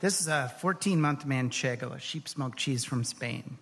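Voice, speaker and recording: A man's voice: medium pitch, moderate pace, vocal fry, fairly bright tone. A man speaking as an expert guest in a live interview, describing things on stage. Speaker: guest, professional cheesemonger. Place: stage before a live audience.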